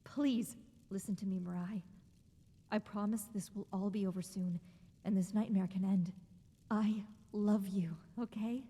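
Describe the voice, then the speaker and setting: A tender, reassuring mother, with a very dark, husky voice. It rings out in a long, hall-like reverb. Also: mid-range voice, steady tone, unhurried. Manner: gentle, reassuring. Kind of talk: game cutscene voice acting.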